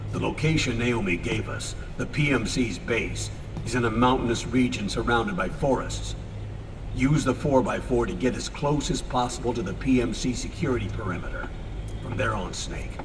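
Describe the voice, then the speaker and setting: A man voicing a grave, older military commander giving a measured briefing in a video game. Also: medium pitch, background noise, lightly acted. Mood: instructive.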